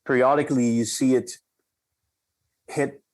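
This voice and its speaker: A man from the Netherlands, a company cofounder, telling a story in a measured, reflective way and slowing down for emphasis. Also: mid-range voice, steady tone. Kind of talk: conversational storytelling.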